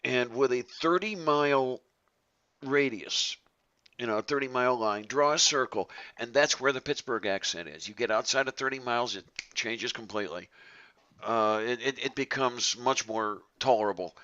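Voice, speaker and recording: Chatty guy hosting a stream, bright forceful voice, kinda muffled lo-fi audio. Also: mid-range voice. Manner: chatty, laughs often, restarts sentences. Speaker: host of a live chat stream. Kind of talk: casual live-stream chat.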